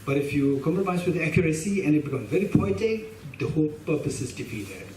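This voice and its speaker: A man's voice: fairly high pitch, lively intonation, quick pace, darkish tone. Tibetan-accented man teaching in a roomy hall. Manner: emphatic, repeats and restarts phrases, gestures while talking. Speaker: Buddhist teacher. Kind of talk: teaching a class. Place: meditation hall with shrine, indoors. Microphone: stand mic in front of him. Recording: roomy hall sound, mic a bit distant, faint hiss.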